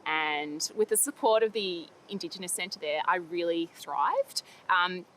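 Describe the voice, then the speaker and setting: A young Australian woman speaking earnestly in a measured, reflective interview, with occasional fillers and restarts. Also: fairly low pitch, fairly bright tone. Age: young adult.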